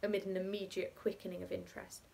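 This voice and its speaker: A young adult woman giving an expressive scripted narration of a short story, recorded indoors. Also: medium pitch, fast talker, gravelly, a little hiss or hum. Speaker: actor reading a short story.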